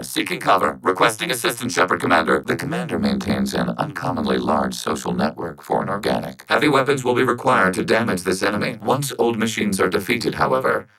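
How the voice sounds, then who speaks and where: An extremely low, gravelly synthetic voice belongs to a calm robot soldier in a video game. It speaks with flat, clipped formality and cool logic, as one collective mind. A machine-like processing coats every word. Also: male voice, heavily acted, poor audio. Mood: terse, alert. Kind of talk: video game character voice acting.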